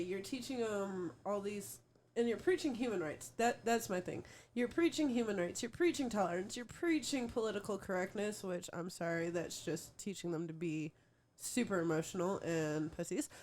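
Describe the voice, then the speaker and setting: A woman's voice: medium pitch, a little mellow, slight background noise. A woman from the American Midwest co-hosting a live-streamed talk show, ranting emphatically and repeating her points. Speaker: co-host. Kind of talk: casual opinionated chat.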